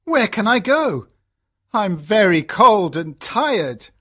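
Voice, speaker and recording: A woman voicing a kindly, earnest middle-aged father in a storybook, with a husky voice. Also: mid-range voice, sing-song, takes it slowly, exaggerated voice. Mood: worried. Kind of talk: graded storybook audiobook character voice.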